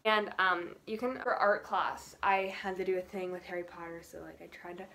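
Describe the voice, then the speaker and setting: A woman's voice: on the low side, fairly even intonation, normal speed, sharp tone, a little hiss or hum. A teenage girl chatting casually to camera about books, rambling with lots of fillers and little laughs. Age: teens. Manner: rambling, filler-heavy, giggly. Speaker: book vlogger.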